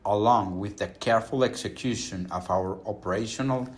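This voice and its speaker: Lower-pitched man presenting company results. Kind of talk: scripted earnings call presentation.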